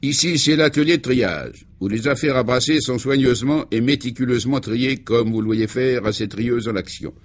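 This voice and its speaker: A man's voice: mid-range voice, normal speed, hardly any pauses, natural voice. A man playing a self-important, obliging business director with a ceremonious, showman-like manner in a comic radio serial. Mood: proud, explanatory.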